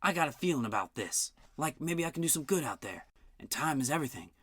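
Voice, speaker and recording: Narrator putting on a folksy hobo, soft drawl, kind and steady, audiobook. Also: mid-range voice, fast, breathy edge, dry recording, studio quality, pitched above the performer's own voice. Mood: resolute.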